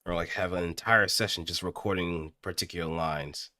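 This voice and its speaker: A man chatting casually on a livestream, musing in a halting way as he thinks aloud. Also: low voice, steady tone, bright, forceful tone, no echo, clean studio sound. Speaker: streamer practicing voice acting.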